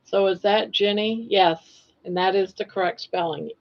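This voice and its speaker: Gravelly-voiced woman in her sixties. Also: mid-range voice, steady tone, normal speed, lo-fi sound. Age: 60s. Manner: chatty, joking, talks baby-talk to her pets. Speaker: livestream host. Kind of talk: casual live-stream chat.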